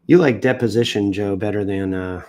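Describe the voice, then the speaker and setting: A man's voice: lowish voice, normal speed, a little mellow. An American man hosting a livestream, reading news posts off the cuff in a theatrical, jokey way with exaggerated emphasis, sounding a bit under the weather. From United States. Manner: theatrical, swoops into exaggerated emphasis and self-mocking asides. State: sick and tiring, coughing now and then. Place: indoors.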